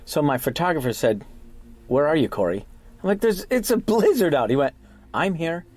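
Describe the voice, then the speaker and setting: A man's voice: on the high side, very animated, moderate pace, a little mellow. A man, an actor being interviewed, talking in an anecdotal storytelling manner. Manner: storytelling, acts out quotes. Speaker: actor interviewed about his part.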